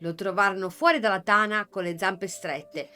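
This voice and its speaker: A woman narrating a children's fairy tale in a soft, measured way with dramatic pauses. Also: mid-range voice. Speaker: storyteller. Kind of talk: gentle scripted story narration. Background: soft music.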